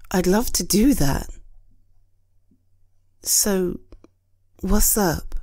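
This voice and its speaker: A British woman playing a gentle, caring friend in a comfort audio roleplay, soothing and reassuring with hesitant pauses. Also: medium pitch, no echo, clean studio sound. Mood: concerned, tentative.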